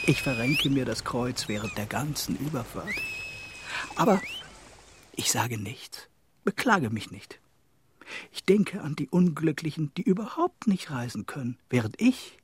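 Meek traveller with wildly swinging pitch. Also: male voice, big pitch swings, a little slow, background noise. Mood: resigned. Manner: timid, apologetic, resigned. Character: meek, put-upon male traveller telling his misfortunes. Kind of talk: German radio play, narration and dialogue.

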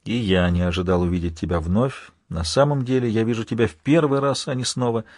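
A man voicing a practical, urgent rescuer with brisk, matter-of-fact delivery in an audiobook. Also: lowish voice, darkish tone, dry recording, studio quality, close to an everyday voice. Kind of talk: dramatic audiobook character voice.

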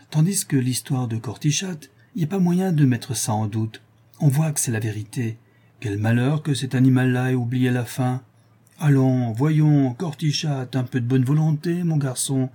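A man's voice: medium pitch, mellow, husky, voice pushed up, natural voice. A man voicing an eager, plain-spoken sailor who tells a yarn in colloquial, exclamatory storybook style. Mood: eager, insistent. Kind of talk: acted audiobook character dialogue.

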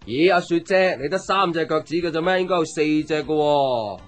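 A man's voice: fairly high pitch, fairly quick, close to an everyday voice. An actor with a Hong Kong Cantonese accent playing a playful, teasing young man, a caring friend, in a light conversational radio drama. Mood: joking. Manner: teasing, joking, animated with exclamations. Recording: music under the voice.

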